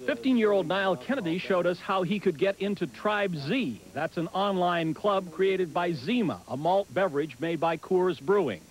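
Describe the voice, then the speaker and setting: A man's voice: high voice, moderate pace, few pauses, rough recording. A man with an American accent narrating a scripted television news report as a correspondent.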